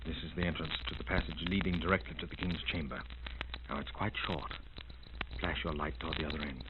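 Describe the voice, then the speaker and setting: Crackly muffled old radio drama, a worried gent sidekick, low voice, proper and earnest. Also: male voice, steady tone, fast talker. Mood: hushed, wary. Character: doubtful, excitable British gentleman companion to an adventurer. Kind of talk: 1930s radio drama acting. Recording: noisy, muffled 1930s radio recording.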